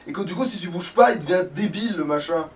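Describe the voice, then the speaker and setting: A man's voice: high voice, animated, fast. A man giving casual, sweary, grumbling commentary while playing a video game. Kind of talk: casual let's play commentary. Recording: distant mic, faint background noise.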